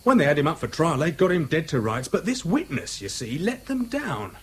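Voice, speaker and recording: Grudge-bearing chatty man, British, high-pitched, very animated, slangy, insinuating, 1970s radio drama. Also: fairly quick, husky, heavily acted. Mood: slyly menacing. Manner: colloquial, slangy, casually insinuating. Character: chatty, outwardly affable man nursing a vengeful grudge.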